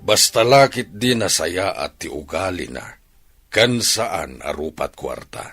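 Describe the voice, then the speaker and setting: Deep, husky stern old rancher. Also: male voice, expressive, measured pace, fairly bright tone, soft background music, heavily acted. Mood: grave. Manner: grave, lecturing. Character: deep-voiced stern older father, rancher.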